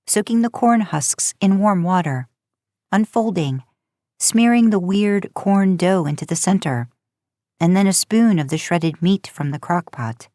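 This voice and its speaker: Low-pitched woman narrating a story, dry sound. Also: warm tone, no echo. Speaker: podcast host narrating fan fiction.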